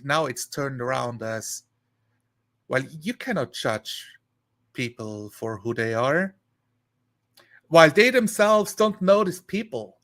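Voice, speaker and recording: A man chatting casually on a live stream, rambling and thinking aloud in a halting way. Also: mid-range voice, a bit bright.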